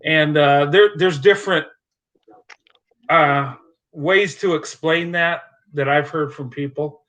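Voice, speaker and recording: A man's voice: on the high side, slow, fairly bright tone, poor audio. A man hosting a live stream in casual chat, reassuring and laughing often, with many "uh" fillers.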